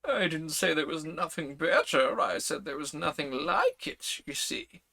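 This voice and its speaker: Fussy old king, man putting him on, high fluttery voice, bright and lively. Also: measured pace, vocal fry, heavily acted. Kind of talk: storybook read-aloud.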